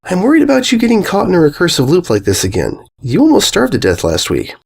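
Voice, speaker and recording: A man reads a sensible young pony mare from a storybook. The voice is slightly raised and expressive, caring and patient in a matter-of-fact way. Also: sits high, quick pace, gravelly, slightly rough, voice pushed up, heavily acted. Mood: concerned.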